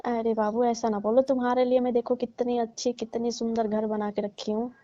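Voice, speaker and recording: A woman plays a married auntie in a comic phone skit, teasing and placating a younger lover. Her voice is fairly high and very mellow. The recording is dull and dry, like a saved call. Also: little pitch movement, normal speed, no echo, natural voice. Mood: pleading. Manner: coaxing, teasing, playful.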